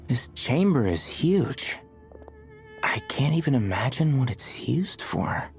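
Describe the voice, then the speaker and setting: Low-pitched, lively young explorer. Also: female voice, slow, faint music behind, exaggerated voice. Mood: curious, wary. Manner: inquisitive, expressive. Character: curious, cautious young female explorer. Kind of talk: video game voice acting.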